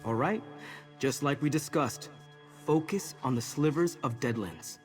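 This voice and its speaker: A man playing a serious, urgent mentor in a fantasy video game, giving directions in a businesslike way with a husky voice. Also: mid-range voice, a little slow, soft background music, lightly acted. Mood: focused, urgent.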